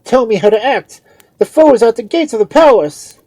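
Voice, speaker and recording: A woman voicing a desperate, impatient priest and father in a story, crying out loudly with urgent agony. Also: animated, normal speed, no echo, rough recording. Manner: loud, high, screaming urgency.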